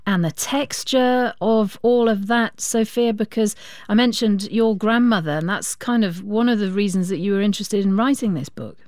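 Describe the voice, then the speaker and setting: A woman's voice: medium pitch, moderate pace, darkish tone, no room sound, clean studio sound. A woman, hosting a broadcast panel interview and putting questions to guests. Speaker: host.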